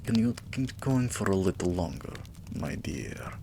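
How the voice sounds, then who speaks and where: A man playing a regal, possessive, doting vampire king in an intimate ASMR roleplay, with lordly, drawn-out phrasing and murmurs. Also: deep voice, sing-song, noisy background, full character voice. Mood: soothed, tender.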